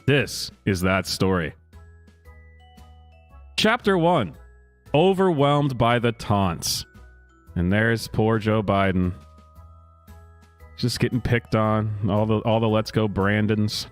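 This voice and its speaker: Low-voiced sarcastic man reading over music. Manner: sarcastic, theatrical pauses on dramatic phrases. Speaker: commentary host reading a news story aloud. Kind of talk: mock-dramatic read-aloud with sarcastic asides. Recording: music playing behind the voice.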